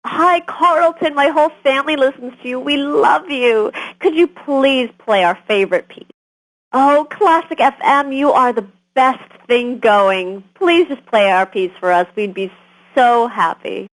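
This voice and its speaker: Gushing female listener caller, fairly high voice, earnest and devoted, game radio show. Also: a little slow, poor audio.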